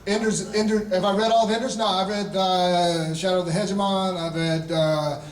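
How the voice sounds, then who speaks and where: A man in his forties from North Carolina, answering fan questions at a convention panel in an animated, laughing storytelling way. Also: high voice, moderate pace. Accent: Charlotte, North Carolina. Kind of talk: live convention Q&A. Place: large convention hall. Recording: echoey hall from far off.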